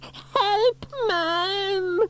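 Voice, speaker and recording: A scared, timid little boy, as a male reader puts him on for a storybook. His voice jumps high and thin, whining and pleading for help. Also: animated, takes it slowly, fairly bright tone, full character voice. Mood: frightened. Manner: whiny, pleading.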